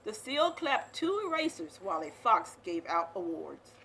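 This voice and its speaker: Bright, animated woman reading a storybook. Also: medium pitch, moderate pace, creaky, a little hiss or hum, low-quality recording. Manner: animated, dramatic storytelling delivery. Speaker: storyteller reading aloud to young children. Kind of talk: expressive children's picture book read-aloud.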